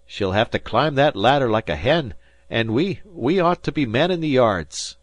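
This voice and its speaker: A man's voice: mid-range voice, lively intonation, normal speed, no room sound, voice pushed up, close to an everyday voice. A scornful old navy sailor, as a man puts him on, sneering and blustering. The voice stays dry and close to the mic.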